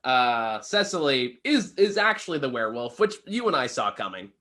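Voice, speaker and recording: A man's voice: mid-range voice, sing-song, normal speed, pushed and bright. A man casually discussing a film with a co-host as a reviewer, opinionated and swearing freely.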